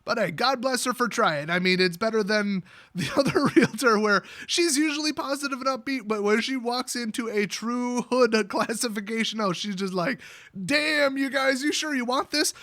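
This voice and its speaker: A man's voice: very high-pitched, moderate pace. An American man telling a personal story in a casual, rambling way, with a sarcastic, jokey delivery. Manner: sarcastic, animated, jokey exaggeration.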